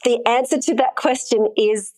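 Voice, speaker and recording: A woman's voice: on the high side, normal speed, a little mellow. A middle-aged woman answering interview questions as an intimacy coordinator, explaining things thoughtfully with frequent fillers.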